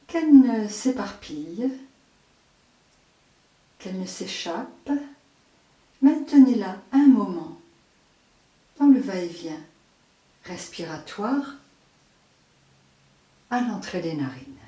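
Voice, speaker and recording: A woman leading a guided yoga nidra meditation as an instructor, in measured short phrases with long pauses. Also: medium pitch, expressive, dark tone. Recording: roomy echo, distant microphone.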